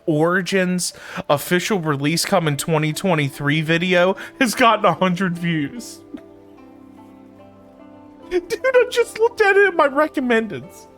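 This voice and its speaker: A man livestreaming a game and chatting casually with his viewers in an informal, halting, repetitive way. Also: sits high, fairly bright tone. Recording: faint game music behind. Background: faint game music.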